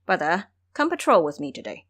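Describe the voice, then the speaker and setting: A woman's voice: fairly low pitch, very animated, fairly quick, a bit bright. A woman voicing a commanding male monster king who explains and orders with authority, in an audio story.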